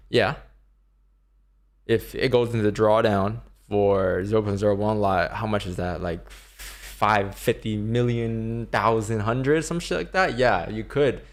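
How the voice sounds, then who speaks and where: A man in his twenties, chatting casually on a livestream and answering chat questions, laid-back and swearing now and then. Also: on the low side. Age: 20s. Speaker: livestream day trader. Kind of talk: casual live-stream chat.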